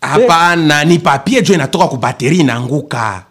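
An actor playing an excitable ordinary man in a radio play, with a creaky voice and a Congolese accent. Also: a little high, quick pace. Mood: earnest. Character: Kabeya, excitable ordinary man spreading market news. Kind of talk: radio play dialogue in colloquial register.

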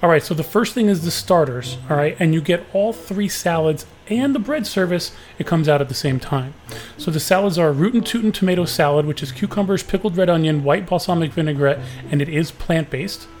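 A man hosting a podcast with a booming voice, telling a story in an easygoing, chatty way. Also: fairly quick, darkish tone. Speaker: podcast host. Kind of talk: casual podcast recap.

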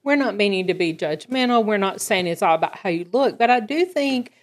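A woman's voice: medium pitch, expressive, fairly quick. Woman, 50s, podcast host, bubbly and upbeat in casual chat. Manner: bubbly, enthusiastic.

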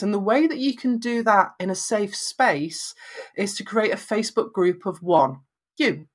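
A woman from northwest England with a Lancashire accent and flat vowels, giving encouraging advice about presenting on camera in an emphatic, conversational way. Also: medium pitch. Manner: emphatic, encouraging, acts out little quotes. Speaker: guest giving video advice.

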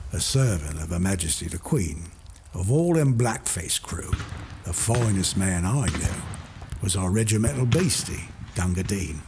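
A man doing a gravelly old soldier. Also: lowish voice, darkish tone, a little hiss or hum. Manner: gruff, dialect-heavy recital with bellowed calls. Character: rough old male British soldier recalling war. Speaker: audiobook narrator. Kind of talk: dramatic poetry reading. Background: music.

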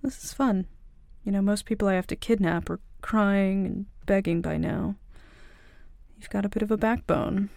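Woman playing a cocky, creaky-voiced young mafia princess. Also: medium pitch, normal speed, mellow, no echo, natural voice. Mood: amused, commanding.

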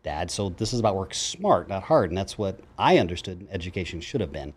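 A man in his fifties talks quickly as a guest on a chat show, his voice sitting low. He tells stories with energy and acts out what others said. The style is relaxed, conversational interview talk. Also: breathy edge. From grew up in Japan and Germany. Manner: animated storyteller, acts out quotes. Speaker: guest, psychologist.

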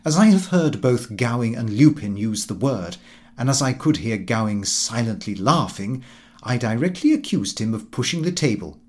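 Man playing fussy Victorian clerk, middle-aged, self-important, prim and precise, very lively intonation, comic audiobook narration. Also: mid-range voice, normal speed, close to an everyday voice. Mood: prim, suspicious.

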